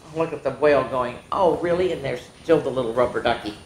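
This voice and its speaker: A woman hosting a children's story time, reading picture books aloud in an animated way with chatty asides. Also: extremely low pitch, fast, reverberant, slight background noise, rough recording.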